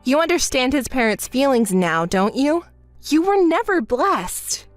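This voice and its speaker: A woman's voice: high voice, very animated, unhurried, no echo, soft background music. Woman voicing a cool, blunt young woman. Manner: level, dry, scolding. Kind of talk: dramatized story voice acting.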